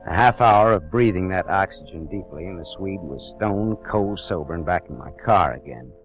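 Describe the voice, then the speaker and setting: An actor playing a hard-boiled private detective who narrates in terse, dry first-person lines in a 1940s radio drama. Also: male voice, low voice, expressive, moderate pace. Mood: tense. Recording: dull vintage radio recording with music.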